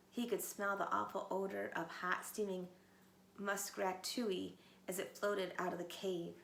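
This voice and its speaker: A woman teacher reading a storybook aloud to children, animated and talking to them directly. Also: mid-range voice, measured pace, gravelly. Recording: roomy echo, faint background noise.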